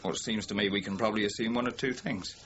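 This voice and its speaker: An actor playing a plain-speaking junior detective in a radio crime drama, colloquial and methodical. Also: male voice, on the low side, steady tone, fast, a bit bright, close to an everyday voice. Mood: reasoning. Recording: dull lo-fi audio, faint noise.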